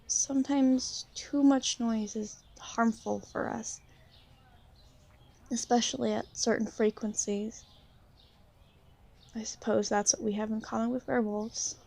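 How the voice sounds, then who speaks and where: A woman playing a shy, gentle young vampire in an ASMR roleplay, speaking softly and hesitantly to the listener. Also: fairly high pitch, fairly even intonation, gravelly. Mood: calm, explaining. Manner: hesitant, soft-spoken, gently halting. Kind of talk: ASMR roleplay, soft intimate talk to the listener.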